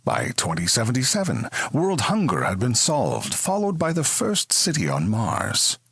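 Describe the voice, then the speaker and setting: A man's voice: mid-range voice, wildly swooping pitch, unhurried, gravelly, a bit bright, husky, dry recording, exaggerated voice. A man playing a grand narrator and announcer in a comedy space audio drama, with a theatrical, scripted delivery. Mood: grave, epic. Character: grand sci-fi narrator and slick future advertising announcer.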